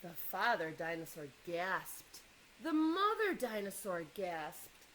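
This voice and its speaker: A woman reading a children's picture book aloud in an expressive way, pausing to engage young listeners with questions and asides. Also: mid-range voice, a little hiss or hum, rough recording.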